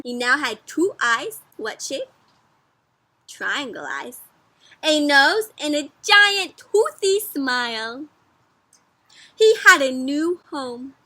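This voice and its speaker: A woman doing an interactive storybook read-aloud for young children, animated and teacherly, often prompting her listeners with questions. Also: high-pitched, no echo.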